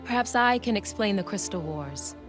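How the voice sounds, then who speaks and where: An actress playing a composed, proud warrior woman in an animated adventure game, speaking in a measured, formal storytelling way. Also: medium pitch, lightly acted. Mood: solemn storytelling. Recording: loud background music.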